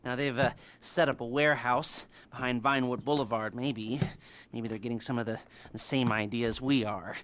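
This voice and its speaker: A man playing a nerdy, sardonic heist mastermind in a video game cutscene, talking in a halting, rambling way with nervous chuckles. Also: mid-range voice, steady tone, a bit bright, a little hiss or hum, close to an everyday voice. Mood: pleased, scheming. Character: nerdy, twitchy, sardonic male heist mastermind briefing crew.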